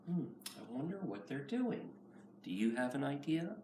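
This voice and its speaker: A man's voice: medium pitch, very animated, moderate pace. Man reading a storybook, roomy and distant. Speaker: nursery school teacher. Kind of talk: children's picture book read-aloud. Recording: roomy, far from mic, faint noise.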